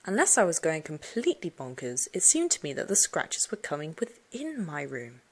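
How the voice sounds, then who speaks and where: A woman reading a children's suspense story aloud, expressively, with dramatic hushed moments. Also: deep voice, huge pitch swings, moderate pace. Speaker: audiobook narrator. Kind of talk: dramatic first-person storybook narration for children.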